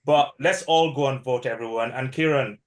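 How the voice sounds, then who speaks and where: A man from East Africa speaks in a casual community discussion, his pitch sitting in the middle range. He talks hesitantly, with frequent pauses and restarts. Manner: hesitant, many fillers and restarts. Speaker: community member.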